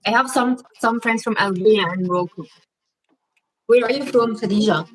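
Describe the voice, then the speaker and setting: A woman's voice: fairly high pitch, normal speed, a little hiss or hum, rough recording. A woman from Egypt hosting a casual live-stream Q&A, chatting and reading viewers' comments aloud with lots of fillers. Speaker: vlog host.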